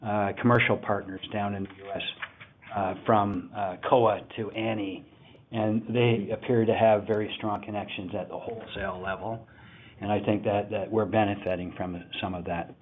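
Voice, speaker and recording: A male company executive giving halting, measured, unscripted answers on an investor call. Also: on the low side, fairly even intonation, slight background noise. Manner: halting, measured, frequent pauses. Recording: tinny phone line.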